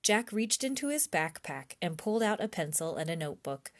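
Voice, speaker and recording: A woman reading a children's chapter book aloud in an expressive storytime style. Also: lowish voice. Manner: slow, clear, animated storybook delivery.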